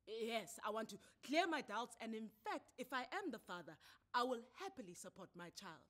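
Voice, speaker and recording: A woman voicing a defensive, stubborn husband with a halting, insistent manner in a story reading. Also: on the high side, big pitch swings, fairly bright tone. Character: defensive husband and father, stubborn, forcedly calm. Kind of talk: dramatised short story reading.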